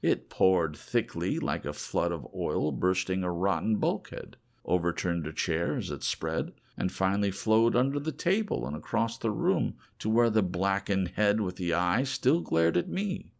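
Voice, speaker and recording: Guy narrating a horror audiobook, really slow and dramatic, pitch moves around a lot, bit low. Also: very animated, vocal fry. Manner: theatrical, deliberate delivery.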